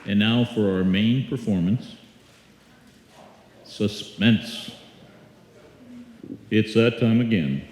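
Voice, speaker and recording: A man's voice: lowish voice, slow, long pauses, a little mellow, a faint crowd, slight background noise. A man announcing in formal, dramatic old-time radio style. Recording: echoey hall, live stage performance.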